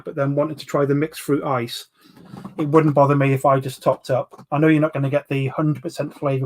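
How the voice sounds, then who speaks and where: Chatty London man in his forties. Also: on the high side. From England. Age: 40s. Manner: chatty, rambling, full of ums, banters with viewers. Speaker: livestream host reviewing vape juices. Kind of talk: casual live chat reading viewer comments.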